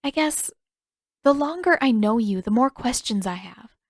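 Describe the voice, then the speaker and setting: A woman's voice: on the high side, expressive, moderate pace, no echo, studio quality. A woman voicing a perceptive teenage girl who talks in a candid, lilting, playful way. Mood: thoughtful.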